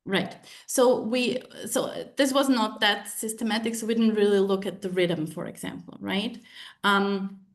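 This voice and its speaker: A woman's voice: medium pitch, steady tone, unhurried. Woman presenting research. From Czech Republic. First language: Czech. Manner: hesitant, many fillers and restarts. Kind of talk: academic presentation with Q&A.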